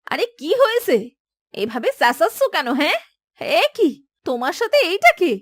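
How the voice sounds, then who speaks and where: A quarrelsome farmer's wife from a cartoon played by a woman, indignant and dramatic. The voice is high, shrill and very animated, in a dry recording. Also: normal speed, no room sound. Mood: shocked, furious. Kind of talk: cartoon fairy-tale voice acting.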